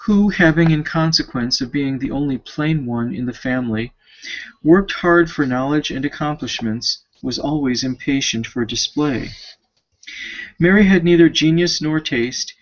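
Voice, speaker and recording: A man reading a classic novel aloud as an amateur, with a creaky voice and a halting delivery that stumbles over words. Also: fairly high pitch. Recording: dull lo-fi home recording.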